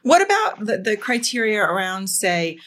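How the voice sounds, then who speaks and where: A woman's voice: mid-range voice, sing-song, moderate pace, a bit bright. Chatty middle-aged woman podcast host. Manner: long, chatty multi-part questions. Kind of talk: podcast interview.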